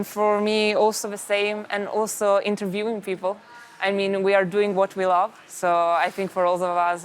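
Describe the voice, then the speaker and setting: A young woman, interviewing fellow young journalists at an event. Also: mid-range voice. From Italy. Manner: upbeat, leads with questions. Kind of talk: casual on-the-spot interview. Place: busy youth event venue.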